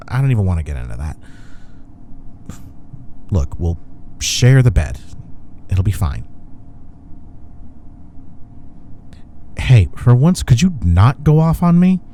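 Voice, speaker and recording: A man's voice: fairly low pitch, very animated, measured pace, pauses often, mellow, slight background noise. A young man acting as a smug, teasing office rival in an intimate roleplay audio. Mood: tired, conciliatory. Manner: teasing, playful banter. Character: teasing, smug young male office rival softening toward his coworker.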